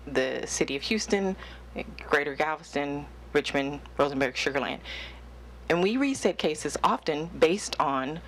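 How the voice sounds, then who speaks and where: A woman's voice: low-pitched, rough recording. A woman who works for the courts, asking the panel an earnest question from the audience. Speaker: audience member, court employee. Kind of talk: question from the floor.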